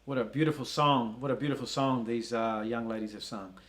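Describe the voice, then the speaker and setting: A man hosting an online church program, introducing and thanking the guest speaker, pausing often. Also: medium pitch, a bit bright. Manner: halting, with fillers. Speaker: host.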